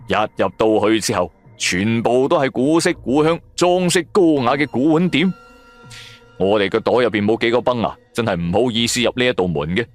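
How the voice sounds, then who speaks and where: A man with a Cantonese accent narrating a supernatural serial in the first person, in a dramatic, colloquial storytelling manner. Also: mid-range voice. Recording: music bed under the voice. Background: background music.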